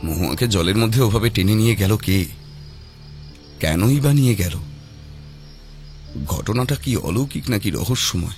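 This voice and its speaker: Male horror audiobook narrator, lowish pitch, dramatic suspenseful phrasing, scripted read over loud music. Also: expressive, unhurried, long pauses.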